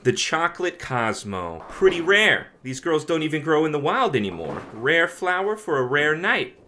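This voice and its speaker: An actor playing a peppy 1950s man in a radio play, smooth-talking and encouraging. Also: fairly high pitch, big pitch swings, moderate pace, pushed and bright, slight background noise. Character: bright, peppy 1950s man coaxing a young bowler. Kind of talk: radio drama dialogue.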